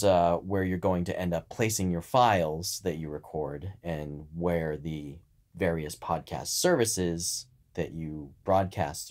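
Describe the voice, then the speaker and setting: Very low-pitched man, casual podcast co-host.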